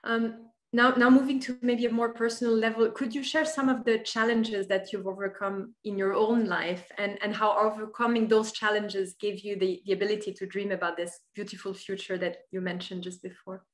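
A woman interviewer poses her questions over a video call, halting and repeating words as she works them out. Her pitch is mid-range. The call carries a noticeably roomy echo. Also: flat delivery. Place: bright room at home. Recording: echoey room on a video call.

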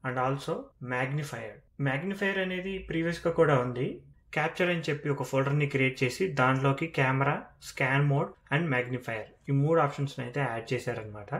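A man with a Telugu accent presenting a tech walkthrough, explaining features step by step and mixing in English terms. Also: medium pitch. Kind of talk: phone feature walkthrough narration.

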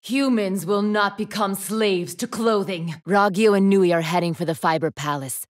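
A woman's voice: mid-range voice, normal speed, no room sound, studio quality, close to an everyday voice. A woman playing an imperious young student council leader in an anime fighting game, commanding, haughty and formal with steely resolve. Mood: grave, calculating.

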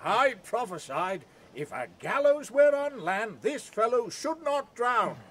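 Man acting very high, excitable mocking old man. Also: takes it slowly, heavily acted. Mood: gleeful mockery. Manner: exclamatory, teasing. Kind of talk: Shakespearean audio drama acting.

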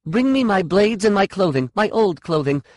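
A man voicing a fierce, angry warrior woman in an audiobook, forceful and commanding in manner. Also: high-pitched, expressive, moderate pace, dry recording, studio quality, voice pushed up. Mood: defiant, commanding. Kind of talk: audiobook character dialogue.

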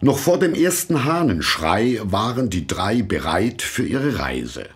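A man narrating a fairy tale from a script in a measured, expressive storytelling manner. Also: fairly low pitch, husky, soft background music.

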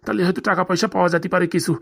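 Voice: medium pitch, fairly even intonation, rapid-fire, creaky. A performer voicing an anxious, aggrieved middle-aged Bengali father in a comedy cartoon, lamenting and rambling in a rural dialect. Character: anxious, aggrieved father nearing retirement, fretting over lost money.

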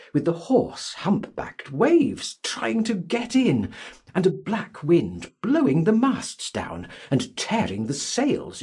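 A man narrating a literary audiobook in a dramatic, expressive and measured way. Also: high voice, gravelly, slightly rough. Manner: theatrical, expressive, measured reading.

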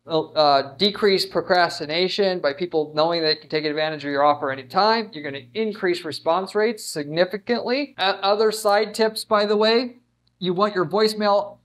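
Guy in his 30s with a pretty high voice explaining marketing stuff, chatty and emphatic. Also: fairly bright tone. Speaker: marketing instructor. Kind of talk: informal whiteboard lesson, explaining to camera. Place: indoors in front of a whiteboard. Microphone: lapel mic.

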